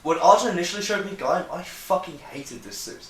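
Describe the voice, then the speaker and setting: Echoey, higher-pitched man, sweary. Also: animated, brisk. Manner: opinionated, sweary. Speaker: host of a friends' tier-list discussion. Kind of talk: casual chat. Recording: roomy echo, clearly noisy background.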